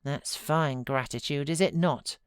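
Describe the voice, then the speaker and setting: A woman's voice: sits low, a little slow, smooth, no echo, clean studio sound. A woman voicing a stern, loyal housekeeper in a novel, forthright and reproachful.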